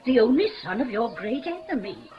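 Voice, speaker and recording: An actress playing a fussy, agitated household nurse in a theatrical, exclamatory 1950s radio Shakespeare style. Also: female voice, fairly high pitch, very animated, brisk, a crowd in the background. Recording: dull old radio recording.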